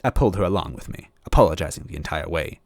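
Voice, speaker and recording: A man's voice: low voice, expressive, quick pace, a little mellow, no echo, clean studio sound. A man reading a story aloud as a dramatic first-person narrator. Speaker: story reader.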